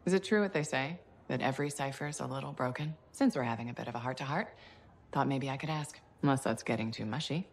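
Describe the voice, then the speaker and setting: A confident veteran mercenary woman from a video game. Her voice sits low, and she talks in a casual, straightforward way with friendly, wry humor. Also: fast. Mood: curious. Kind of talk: naturalistic video game dialogue acting.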